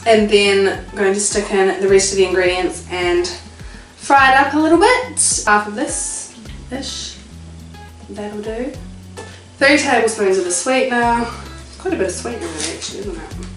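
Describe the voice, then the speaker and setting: A woman's voice: medium pitch, normal speed, fairly bright tone, reverberant, distant mic, soft background music, poor audio. A woman with a New Zealand accent chatting casually to viewers as she cooks at home, with self-mocking asides. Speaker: vlogger. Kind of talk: casual cooking vlog. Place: home kitchen.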